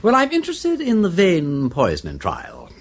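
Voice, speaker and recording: An actor playing a flippant, inquisitive aristocratic detective in a British radio drama, with an upper-class English accent and a breezy, foppish, rambling politeness. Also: male voice, a little high, huge pitch swings, moderate pace, heavily acted.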